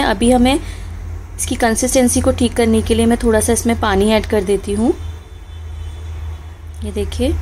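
Woman's higher voice teaching a recipe. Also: darkish tone, slight background noise. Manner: friendly step-by-step instructions, often says friends. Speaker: cooking channel host. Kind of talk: home cooking tutorial voice-over. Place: home kitchen stove.

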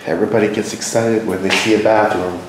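A man's voice: on the low side, fairly even intonation, fast talker. A man in his forties casually narrating to viewers as he explores, talking informally as host. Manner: informal, addressing viewers directly. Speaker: urban exploration vlogger, host. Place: inside an abandoned church, empty rooms and halls. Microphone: handheld camera at arm's length. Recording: noisy handheld camera audio in empty building.

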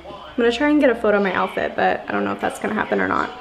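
A woman's voice: mid-range voice, wildly swooping pitch, very fast, vocal fry, music in the background, rough recording. A woman in her twenties, chatting casually to the camera as a lifestyle vlogger, upbeat and full of "like" fillers. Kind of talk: casual vlog chat to camera. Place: home kitchen, then parked car.

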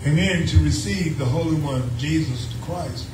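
Southern pastor preaching in a roomy church. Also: male voice, fairly high pitch, moderate pace, background noise. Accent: Southern American. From United States. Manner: preaching, rising to shouts of praise, repeats phrases. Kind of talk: call-and-response sermon. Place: small church sanctuary, indoors. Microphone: handheld mic, filmed from the pews. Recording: roomy church sound from a distance with music behind.

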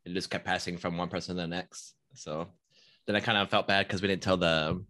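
Brief: male co-host, deep voice, dry close mic, laid-back and laughing. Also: flat delivery, fairly quick, bright, forceful tone, no echo. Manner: easygoing, laughs often. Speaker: podcast co-host. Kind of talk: casual chat. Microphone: video call with desk microphone.

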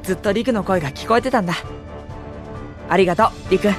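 A woman's voice: medium pitch, fast talker, music in the background, close to an everyday voice. A woman playing a cheerful, eager, earnest teenage boy hero in a Japanese game. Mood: earnest, grateful. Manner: earnest, boyish, excitable. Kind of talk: Japanese game cutscene acting.

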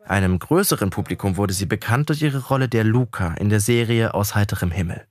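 A man's voice: on the low side, no room sound. Man narrating, very dry sound. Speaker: podcast introduction narrator. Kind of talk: scripted narration. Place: dry, close studio-style recording.

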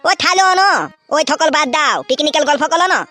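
Squeaky, lisping cheeky little boy. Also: fairly quick, pushed and bright. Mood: cheeky, curious. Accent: rural West Bengal. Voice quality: childish lisp. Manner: babyish, cheeky, pleading. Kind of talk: comedy cartoon dubbing. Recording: music behind the voice.

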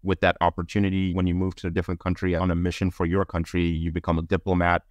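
Middle-aged guy w a really low voice talking through his answers on a podcast, very dry sound. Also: completely flat delivery, fast talker, dry recording. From Zambia, later Belgium. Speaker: podcast guest, entrepreneur. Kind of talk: conversational interview answers. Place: indoors on a video call.

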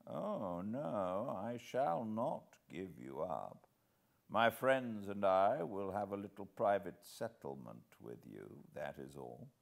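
A sly older villain who talks softly and smoothly, all calm assurance with a quiet threat underneath, as a male narrator puts him on. The voice sits low but swings widely in pitch, and it unhurriedly savours each phrase. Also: takes it slowly, full character voice. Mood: smooth menace. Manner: soft-spoken, assured, quietly menacing. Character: sly old male villain, gently smiling. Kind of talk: audiobook character voice.

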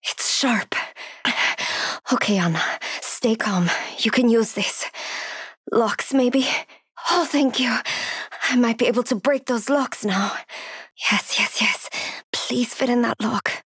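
A woman's voice: on the high side, slow, long pauses, a bit bright, no echo, studio quality, exaggerated voice. An actress playing a frightened young woman survivor in a horror video game, urgent and pleading. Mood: tense, hushed. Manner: breathy urgent self-talk, pleading.

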